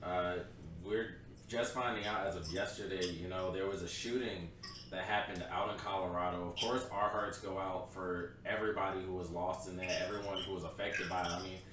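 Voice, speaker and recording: Young man, low voice, blunt opinions, casual podcast co-host; sits back from the mic in a very echoey room with faint hiss. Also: steady tone, brisk, distant mic. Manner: opinionated, blunt, laughs easily. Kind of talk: casual chat.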